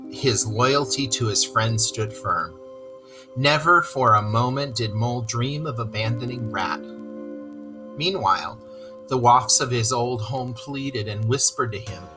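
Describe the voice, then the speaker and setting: A storyteller reading aloud to children in expressive narration, with explanatory asides. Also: mid-range voice, rough recording.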